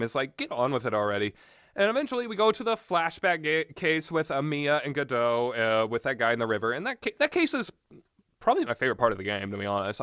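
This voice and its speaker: A man's voice: a little high, fairly bright tone, no room sound, clean studio sound. A man giving casual, rambling opinions as a game commentator, with an emphatic, exasperated delivery.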